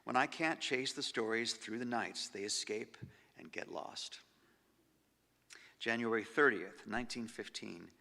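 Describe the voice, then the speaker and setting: A man giving a measured, expressive stage reading of diary entries, sinking to near-whispers at the ends of phrases. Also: medium pitch, gravelly. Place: large concert hall. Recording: long hall reverb.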